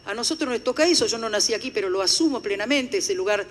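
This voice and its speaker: A woman's voice: on the high side, expressive, fast talker. Older Italian-accented woman lecturing. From Italy. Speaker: conference speaker. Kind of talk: formal lecture. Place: conference hall. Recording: echoey hall.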